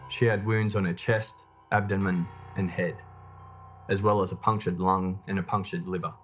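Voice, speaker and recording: A man reading scripted true crime documentary narration in a measured, matter-of-fact way. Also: deep voice, little pitch movement, fairly bright tone, faint music behind.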